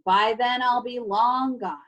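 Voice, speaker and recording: A woman's voice: on the high side, takes it slowly, fairly bright tone, dull audio. A woman voicing a menacing, boastful, greedy male villain in a storybook read-aloud. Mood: gloating. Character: sinister gloating male villain, growling and greedy.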